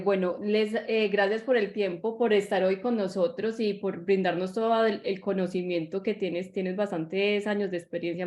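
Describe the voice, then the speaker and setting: Woman hosting a webinar on a video call, halting delivery, roomy echo. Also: mid-range voice, a little mellow. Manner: hesitant, many fillers and restarts. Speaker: real estate agent, webinar host. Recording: echoey room on video call.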